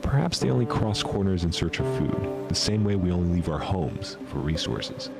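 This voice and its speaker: A man playing an obsessed researcher, narrating analog horror in a measured, formal, clinical way. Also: low voice, big pitch swings, creaky, over loud music, heavily acted. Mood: clinical, fascinated. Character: obsessed male researcher documenting otherdimensional creatures at home.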